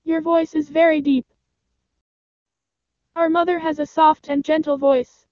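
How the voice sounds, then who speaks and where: A woman's voice: high voice, flat delivery, unhurried, darkish tone, no echo, voice pushed up. A woman voicing a group of small, defiant goat kids in a storybook reading. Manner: scolding, defiant. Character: seven little goat kids, bold and defiant. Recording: dull lo-fi sound, dry.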